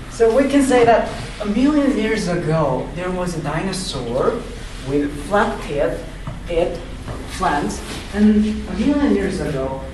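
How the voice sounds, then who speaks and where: A man with a Korean accent teaching an English class, halting with fillers and restarts. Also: on the high side. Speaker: student teacher. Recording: echoey classroom from far off, noisy.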